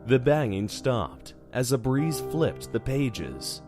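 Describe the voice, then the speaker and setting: A man's voice: mid-range voice, very animated, slow, over music. A man narrating a scripted horror drama as its storyteller.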